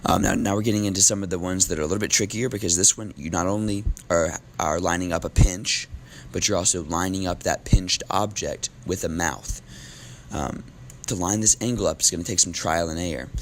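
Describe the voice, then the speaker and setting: Low gravelly man, losing his voice. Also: fairly quick, slight background noise. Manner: relaxed, casual with filler ums. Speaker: teacher. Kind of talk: recorded classroom lesson.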